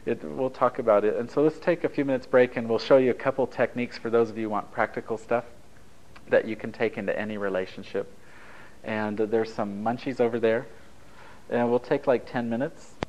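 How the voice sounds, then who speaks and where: A man's voice: mid-range voice, fairly even intonation, fairly quick, a little mellow. Man leading a workshop, dull lo-fi tape. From Italy. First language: Japanese. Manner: conversational, anecdotal, joking with the group. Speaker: workshop leader. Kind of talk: taped relationship workshop talk.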